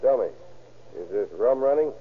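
An American actor playing a straightforward, wary but kindly boat captain in an old radio crime drama. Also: male voice, medium pitch, animated, moderate pace, natural voice. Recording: tinny, noisy old radio recording.

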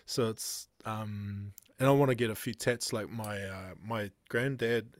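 A man's voice: lowish voice, steady tone, unhurried, no room sound, clean studio sound. A man with a New Zealand accent hosting a podcast, laid-back and casual, laughing often and calling his guest "bro.". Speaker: podcast host. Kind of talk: casual chat.

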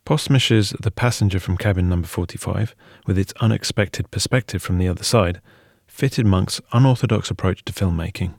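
A man's voice: low-pitched, normal speed, gravelly, a little mellow, dry recording, clean studio sound. A man reading scripted documentary narration as one of the narrators.

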